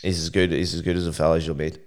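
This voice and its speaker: A man's voice: low-pitched, little pitch movement, very fast. A man with a Northern Irish accent bantering casually as a podcast co-host, with a wry, teasing manner.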